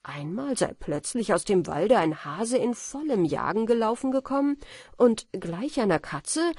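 A woman with speech reads a fairy tale as an audiobook narrator, in a soft, measured and expressive storytelling manner. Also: medium pitch, slightly rough, no echo, clean studio sound. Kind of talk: scripted fairy tale narration for bedtime listening.

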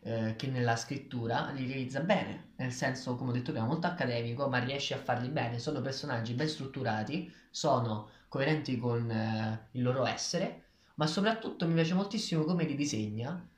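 A man's voice: medium pitch, normal speed. A man in his twenties giving opinions casually and thinking aloud, picked up by a camera across a kitchen table. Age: 20s. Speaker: book reviewer. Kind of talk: casual discussion of a graphic novel. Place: small tiled kitchen, indoors. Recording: echoey room, camera at a distance.

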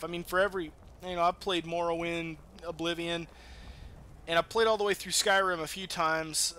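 A man's voice: on the high side, sharp tone, a little hiss or hum. A man giving casual, rambling let's play commentary, with some swearing. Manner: rambling, profane. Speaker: gaming video host.

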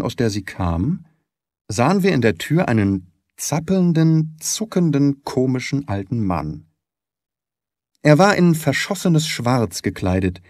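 Male narrator for classic fiction, articulate and animated, mid-range voice, close dry sound. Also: medium pitch, no room sound. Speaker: audiobook narrator. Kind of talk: scripted literary narration.

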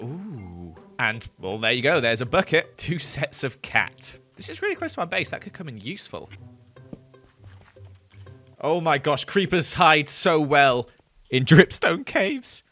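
Lively chatty man gaming. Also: mid-range voice. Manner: chatty, jokey, excitable outbursts. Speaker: gaming youtuber. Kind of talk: playthrough commentary.

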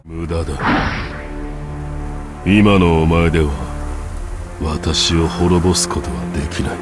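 An actor voicing a grim, weary immortal warrior in a video game, speaking in a stern, formal, measured way. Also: male voice, deep voice, fairly even intonation. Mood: stern, cold. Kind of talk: Japanese video game voice acting. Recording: dramatic music under the voice. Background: loud music.

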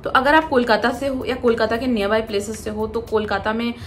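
A woman's voice: fairly high pitch, fairly even intonation, brisk, a bit bright, a little hiss or hum. A young woman talking casually to camera as a vlogger, mixing into Hindi with frequent fillers. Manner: chatty, mixes English into Hindi, frequent fillers. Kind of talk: casual vlog narration. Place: indoor room with curtain backdrop.

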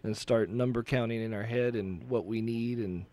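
A man's voice: medium pitch, fairly even intonation, normal speed, mellow. A man hosting a radio show, chatting casually and laughing easily into a studio microphone.

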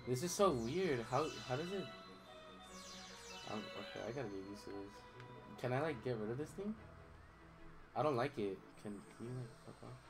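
A man's voice: medium pitch, lively intonation, moderate pace, long pauses, poor audio. A young man chatting casually while streaming a video game, rambling, losing his train of thought and swearing offhand. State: voice recovering from strain. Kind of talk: casual gameplay commentary. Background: game music.

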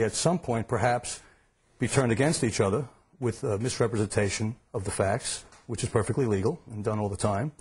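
A middle-aged man with a raspy, mid-pitched voice. He gives expert commentary on crime as a live TV studio guest. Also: darkish tone. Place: television news studio.

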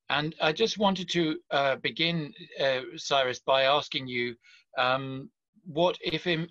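A man's voice: a little high, sharp tone, no room sound, dull audio. A middle-aged man, an editor hosting a virtual forum interview over a video call, polite and hesitant, with many fillers.

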